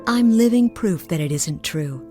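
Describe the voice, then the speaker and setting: A woman playing a tender, caring mother in a video game cutscene, gentle and soothing. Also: on the low side, lively intonation, unhurried, music in the background. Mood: concerned, reassuring.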